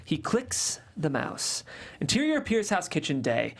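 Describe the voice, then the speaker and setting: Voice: mid-range voice, wildly swooping pitch, unhurried. Matter-of-fact stage-direction reader. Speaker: narrator reading stage directions. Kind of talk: table read of a comedy script.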